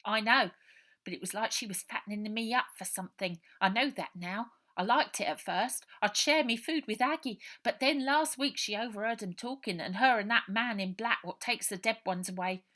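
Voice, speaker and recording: A woman reader acts out a storybook orphan boy of ten. He is frightened yet streetwise, with a working-class English accent. His talk is plaintive, earnest and roughly colloquial. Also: mid-range voice, moderate pace, pushed and bright, pitched above the performer's own voice, close to an everyday voice. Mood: confiding, uneasy. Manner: colloquial, earnest, ungrammatical speech.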